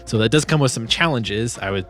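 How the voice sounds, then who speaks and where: A man's voice: medium pitch, animated, a little fast, a bit bright, faint music behind. A man giving a casual, explanatory walkthrough as a sound designer presenting his work.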